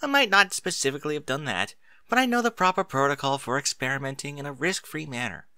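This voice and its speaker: A man's voice: a little high, animated, quick pace, sharp tone, clear, resonant tone, no room sound, voice pushed up, heavily acted. Man voicing a bookish, lilting pony mare. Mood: helpful. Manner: precise, bookish. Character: studious female pony, helpful, wry know-it-all.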